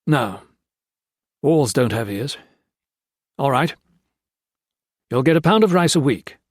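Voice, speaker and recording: A man's voice: medium pitch, expressive, takes it slowly, no echo, studio quality. A man doing a bullying, crooked army colonel. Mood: curt. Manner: commanding, blustering, threatening.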